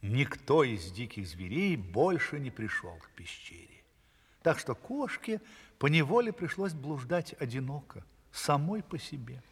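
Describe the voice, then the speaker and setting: A man's voice: a little high, a bit bright, husky, full character voice. A man performing as the storyteller of an animal fairy tale in scripted audio-play narration. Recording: long reverb.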